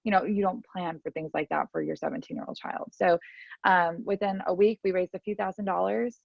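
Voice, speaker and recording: Thirtysomething woman, chatty and candid, lots of vocal fry, dry dull audio. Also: on the low side, fairly even intonation, a little fast, no echo. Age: 30s. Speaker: podcast guest. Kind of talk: casual interview storytelling. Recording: dry, slightly muffled audio.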